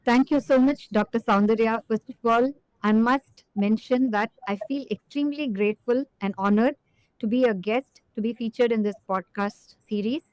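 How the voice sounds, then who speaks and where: A woman's voice: fairly high pitch, moderate pace, a little mellow, clean tone, no room sound, poor audio. A middle-aged woman from Chennai, earnestly recalling her childhood as an interview guest, with many fillers. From Chennai, India. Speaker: guest executive. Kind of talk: reflective interview answer.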